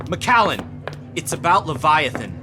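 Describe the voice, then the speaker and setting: A secretive male doctor from a sci-fi audio drama. He is insistent yet halting, his pitch moving widely as he urges trust, with music clearly underneath. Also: sing-song. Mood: urgent, secretive. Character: evasive, urgent male doctor urging trust.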